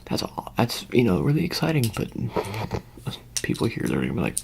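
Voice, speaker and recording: A man doing an improvised ASMR roleplay in whispers and soft speech, rambling and hesitant with a deadpan delivery, close to the microphone. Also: mid-range voice, a little fast, vocal fry, breathy edge.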